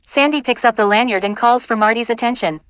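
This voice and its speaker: Higher-pitched woman narrating a script. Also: fairly quick, a bit bright, clean tone, dry recording, low-quality recording. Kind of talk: scripted narration of stage directions.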